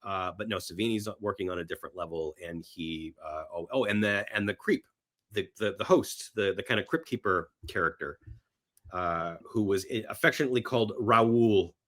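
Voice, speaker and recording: A middle-aged man talking casually as a podcast guest, animated in his storytelling and repeating phrases for emphasis. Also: fairly low pitch, moderate pace. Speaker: podcast guest, horror film screenwriter and actor. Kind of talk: casual film chat.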